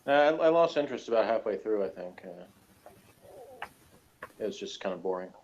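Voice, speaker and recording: A man's voice: fairly low pitch, very animated, moderate pace, darkish tone, slight background noise. A man chatting casually as a guest reviewer, hesitant, rambling and flippant, on dull lo-fi audio. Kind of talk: casual chat.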